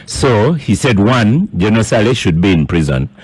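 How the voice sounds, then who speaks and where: A man with a Ugandan accent moderating a live radio debate as host, interjecting and halting as he sums up points. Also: on the low side, sing-song, darkish tone, breathy edge, no echo.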